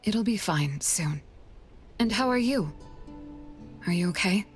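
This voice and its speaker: An actress playing a calm, knowing young female team leader in an anime-style video game, with measured delivery. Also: mid-range voice. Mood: calm reassurance. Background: game music.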